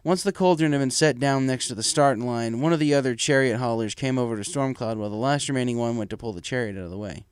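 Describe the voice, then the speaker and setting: A man's voice: medium pitch, fast talker, few pauses, clear, resonant tone, no room sound. A man reading a story aloud as narrator, in a scripted storytelling style. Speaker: story reader.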